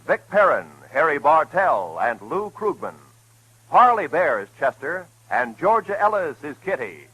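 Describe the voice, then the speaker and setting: Male 1950s network announcer: formal, emphatic scripted read, fairly high pitch, swooping intonation. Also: pushed and bright, husky, dry recording, poor audio. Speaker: radio announcer.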